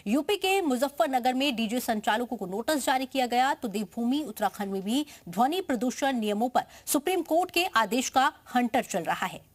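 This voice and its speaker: A woman's voice: a little high, expressive, normal speed, hardly any pauses. A woman presenting a scripted news introduction as an anchor.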